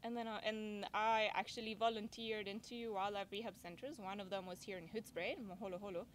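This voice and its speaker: Brief: young Venezuelan woman, lively storyteller, faint outdoor hum. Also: medium pitch, fairly quick. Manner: chatty, laughs often, acts out her own past lines. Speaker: safari guide guest. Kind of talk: casual interview chat. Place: outdoors at a campfire circle in the bush.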